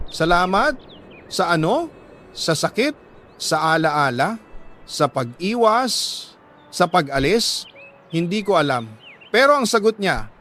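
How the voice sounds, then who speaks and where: Higher-pitched Filipino man narrating dramatic love story. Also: a bit bright, slight background noise. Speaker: storyteller reading a listener's letter.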